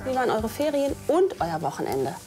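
A woman teacher leading a class discussion in a classroom, lively and questioning. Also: on the high side, fairly quick, music in the background.

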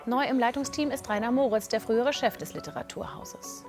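A woman's voice: a little high, a little fast, over music. A woman narrating a scripted news report.

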